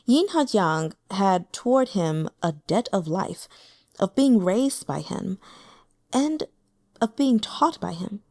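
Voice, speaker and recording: A woman reading a translated fantasy novel aloud as an audiobook, with expressive, measured storytelling. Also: medium pitch. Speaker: audiobook reader.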